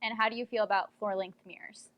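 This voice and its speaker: A woman's voice: mid-range voice, steady tone, fast, dry recording, dull audio. A woman interviewing people and narrating as a reporter in a mock investigative report.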